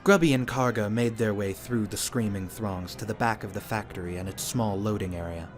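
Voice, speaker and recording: A man's voice: on the low side, a little fast. A man reading scripted narration for an audio drama. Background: music.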